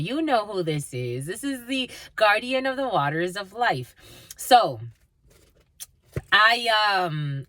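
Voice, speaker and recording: A woman's voice: medium pitch, fairly bright tone, no echo. A woman from the northeastern United States giving a casual live tarot reading, rambling with many fillers and talking fondly to her viewers. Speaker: tarot and energy reader.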